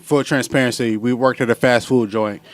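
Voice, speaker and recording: A man's voice: mid-range voice, steady tone, moderate pace. An American man in a casual podcast chat, speaking in heavy, profane slang with emphatic repetition.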